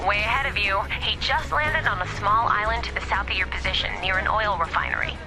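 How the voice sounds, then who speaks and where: An actress playing a brisk female military handler who gives mission briefings in a game cutscene. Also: mid-range voice, music in the background, natural voice, poor audio. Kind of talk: video game cutscene acting.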